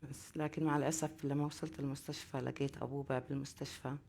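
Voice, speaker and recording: A woman with a deep, low-pitched voice tells a panel about the loss of her son. She speaks haltingly, full of grief, yet her words tumble out rapidly between the pauses. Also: flat delivery, gravelly, darkish tone, a little hiss or hum. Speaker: panel guest, bereaved mother. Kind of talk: speaking through an interpreter.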